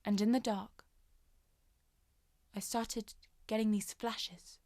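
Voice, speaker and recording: A woman performing a naturalistic modern monologue as a shaken commuter, conversational, subdued and halting. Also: fairly high pitch, no room sound, studio quality. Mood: haunted, uneasy. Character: shaken woman commuter recounting a frightening train ordeal.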